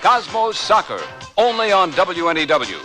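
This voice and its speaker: A man's voice: high voice, sing-song, normal speed, over music. A man, a sports broadcaster, reading a scripted radio promo.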